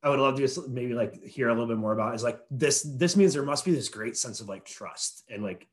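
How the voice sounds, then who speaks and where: A man interviewing in a casual, halting, informal way, thinking aloud as he forms his questions. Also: mid-range voice.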